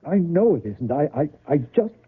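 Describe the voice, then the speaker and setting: Evasive, strained novelist husband, husky voice, old radio play, tinny band-limited sound. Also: male voice, fairly high pitch, sing-song, exaggerated voice. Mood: strained, pleading. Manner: halting, strained. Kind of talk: old-time radio drama acting.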